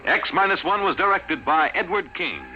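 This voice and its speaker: A man with an American accent formally reading credits as a 1950s radio announcer, with measured enunciation. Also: high voice, animated, soft background music. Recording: dull, faintly noisy old radio recording.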